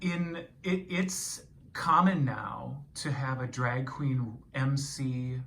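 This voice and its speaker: A man's voice: medium pitch, takes it slowly, fairly bright tone, slight background noise. A man asking questions casually as an interviewer.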